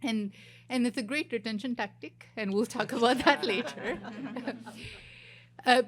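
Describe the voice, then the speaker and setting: A woman's voice: a little high, unhurried, a crowd in the background. A woman from southern India, a company CFO, introducing herself on a conference panel in an earnest way with frequent fillers. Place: conference stage.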